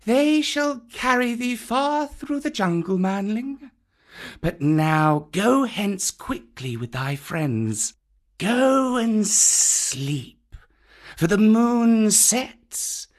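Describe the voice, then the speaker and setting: A huge, ancient python from a storybook. The voice is raised well above natural speech and swings widely. Each command is drawn out slowly, hypnotic and full of menace. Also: medium pitch, very animated, voice pushed up. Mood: courteous, stately.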